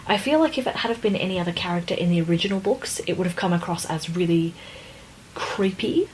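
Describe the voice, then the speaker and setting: Lower-pitched woman, noisy recording. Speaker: podcast co-host. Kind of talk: casual book discussion.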